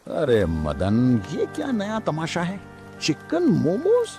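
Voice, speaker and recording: A man's voice: fairly high pitch, wildly swooping pitch, takes it slowly, dark tone, faint music behind, heavily acted. A man playing a commanding, exclamatory village headman in a cartoon. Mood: skeptical, commanding. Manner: authoritative, exclamatory. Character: gruff, commanding male village headman who loves food.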